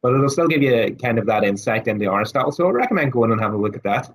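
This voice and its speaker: A man whose voice is hoarse and raspy, as if it is starting to give out. He talks casually as an interview guest, circling his points with ums and restarts. His pitch sits in the middle for a man. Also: sing-song, racing. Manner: thoughtful, many fillers and restarts. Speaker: guest scholar on Aquinas.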